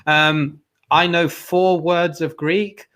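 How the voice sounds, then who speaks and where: A man hosts a virtual trade fair over a video call. His voice sits a little high, and he keeps it even and flat. He talks loosely and keeps circling back with fillers. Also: takes it slowly. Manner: hesitant, many restarts and "you know" fillers. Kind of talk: casual spoken chat.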